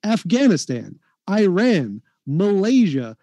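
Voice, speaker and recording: A man arguing in a heated live debate, pressing his points insistently and talking over his opponent. His voice sits a bit high but has a mellow, dark color, and the sound is dry and close. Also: very animated, no room sound. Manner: insistent, rapid-fire, talks over others.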